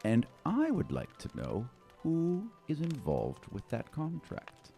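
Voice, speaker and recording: A man voicing an elegant, scheming noble lady who speaks with smooth, commanding fondness in a tabletop game. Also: a little high, huge pitch swings, slow pace, warm tone, faint music behind, pitched above the performer's own voice, exaggerated voice. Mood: coy, commanding. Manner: smooth, indulgent, doting endearments.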